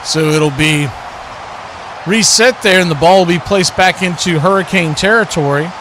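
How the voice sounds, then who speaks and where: High-pitched man calling football, noisy background. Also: moderate pace. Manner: clipped running description, rising to excited calls on action. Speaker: play-by-play sports commentator, watch party host. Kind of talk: live American football play-by-play commentary.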